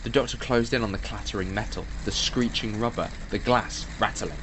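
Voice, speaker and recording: A man's voice: lowish voice, steady tone, a little fast, noisy background. A man reading scripted narration as the narrator of an audio drama.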